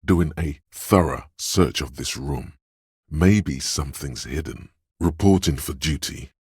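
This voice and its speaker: An actor voicing a calm, observant male inner voice of the senses in a game, narrating in a measured, deliberate way. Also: rumbling low, husky, no room sound, clean studio sound, exaggerated voice. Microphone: studio mic.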